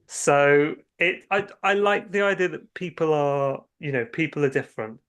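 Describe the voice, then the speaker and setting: A man's voice: on the high side, moderate pace, fairly bright tone, clean tone. A man speaking as an interview guest, chatting casually about his creative work in rambling anecdotes with hesitant starts. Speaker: guest writer and filmmaker.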